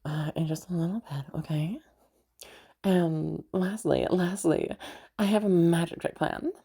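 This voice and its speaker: A woman acting as a playful, caring party host in an intimate audio roleplay, soft-voiced and hesitant, rambling as she gently reassures the listener. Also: on the low side, unhurried, vocal fry, slightly rough, full character voice. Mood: soft, reassuring.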